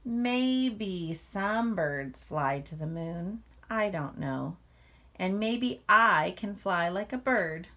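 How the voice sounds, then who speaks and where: A woman's voice: mid-range voice, animated, very slow, sharp tone, heavily acted. A woman voicing an eager, imaginative little boy bear cub from a storybook, with wide-eyed, emphatic delivery. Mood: eager, stubborn.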